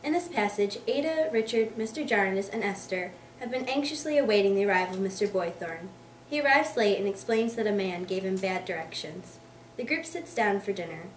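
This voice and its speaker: A woman's voice: medium pitch, lively intonation, a little fast. A young woman in her teens, a student, giving a scripted literary reading as narrator. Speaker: student reader. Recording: echoey room, distant mic, faint noise.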